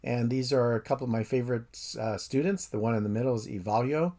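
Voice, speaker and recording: Man giving a steady course introduction. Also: medium pitch, fast talker. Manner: steady, methodical explainer. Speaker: professor. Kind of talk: recorded course intro lecture.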